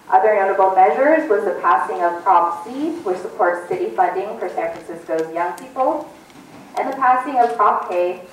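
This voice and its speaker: A woman in her forties whose first language is Tagalog, moderating a community panel on a handheld mic and reading measured remarks from notes. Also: mid-range voice, recorded from a distance, slight background noise. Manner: clear, measured, reads from notes. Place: community center hall with audience. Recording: echoey hall, voice a bit off-mic.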